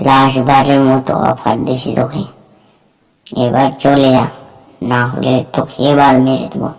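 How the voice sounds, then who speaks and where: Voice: mid-range voice, level tone, normal speed, natural voice, poor audio. A performer voicing a bullying, bargaining jungle gang boss in amateur cartoon dialogue. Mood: aggressive.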